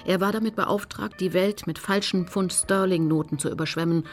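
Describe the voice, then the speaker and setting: A woman's voice: on the low side, steady tone, normal speed, lightly acted. Sober female Nazi hunter over music actress playing. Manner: sober, factual. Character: determined female Nazi hunter recounting her investigation. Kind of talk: radio docudrama first-person narration.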